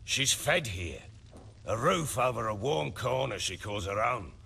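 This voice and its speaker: An actor playing a gruff, commanding middle-aged lord in a video game, with blustering, archaic rustic speech. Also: male voice, fairly low pitch, expressive, measured pace, bright, forceful tone, a little hiss or hum, exaggerated voice. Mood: guarded, bargaining. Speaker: lord with men and hounds. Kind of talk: dramatic video game acting.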